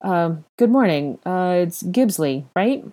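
A woman's voice: on the low side, expressive, slow pace, dark tone, pitched above the performer's own voice. A woman voicing a polite, firm young man with a level, stoic manner in an audiobook story.

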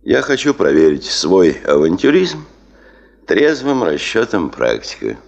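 An actor in a Soviet radio drama playing a stubborn, ambitious male chief of a geological administration, speaking in a deliberate, formal and authoritative way. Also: low-pitched, very animated, gravelly, heavily acted. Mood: firm, controlled.

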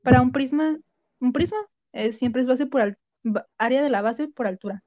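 A woman's voice: high-pitched, darkish tone, dry recording, muffled recording. A young Mexican woman co-hosting a casual podcast chat, quizzing the others and explaining things. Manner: quizzing, explanatory, quick to laugh. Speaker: podcast co-host.

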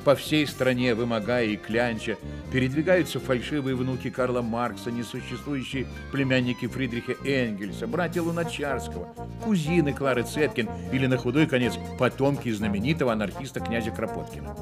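A man's voice: mid-range voice, a little fast. A man narrating a literary radio play in a theatrical, wry manner. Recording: radio play with music behind.

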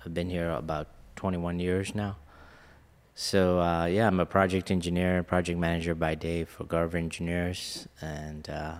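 A man's voice: low voice, flat delivery, moderate pace, clear, resonant tone, big-room sound. A man in his late thirties, born in India and raised in Ghana, earnestly telling his life story as a podcast guest. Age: late 30s. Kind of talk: casual interview storytelling.

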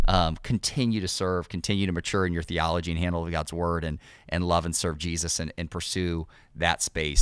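A man's voice: low-pitched, a bit bright, clean tone, dry recording, clean studio sound. A male podcast host and pastor in casual conversation, encouraging listeners in a sermon-like cadence.

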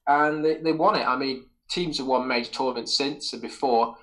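A man's voice: medium pitch, moderate pace, fairly bright tone. A man hosting a football podcast, talking casually and enthusiastically through detailed football memories in a rambling way. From Wales. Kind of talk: casual sports chat.

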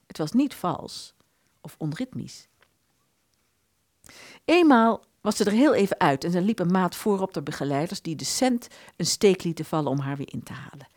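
A woman narrates a literary radio play. Her voice is on the low side and very varied in melody, an expressive storyteller. The recording is close and dry. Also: moderate pace, no room sound.

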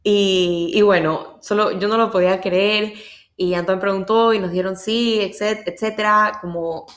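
A woman's voice: medium pitch, fairly even intonation, normal speed. A woman with an Ecuadorian accent hosting a podcast, telling personal stories casually and emphatically, repeating words for stress. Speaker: podcast host. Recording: echoey, roomy sound.

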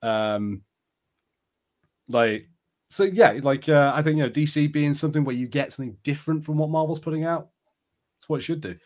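A man's voice: on the high side, moderate pace, long pauses, a little mellow. Casual man chatting on a podcast. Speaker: podcast co-host. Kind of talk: casual chat.

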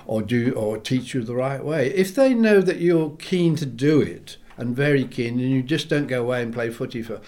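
An older man born in England tells long, rambling stories in an interview. He is animated and acts out the voices of the people he quotes. His voice sits in a middle pitch. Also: normal speed, hardly any pauses. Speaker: composer, interview guest. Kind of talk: reminiscing interview.

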